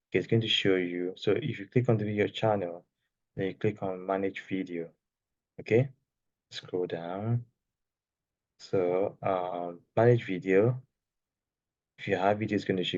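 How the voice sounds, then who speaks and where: Soft-spoken man with a low voice. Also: dry recording, muffled recording. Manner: soft-spoken, loose and repetitive, checks in with "okay?". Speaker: course creation instructor. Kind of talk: screen-share tutorial, casual. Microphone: external microphone.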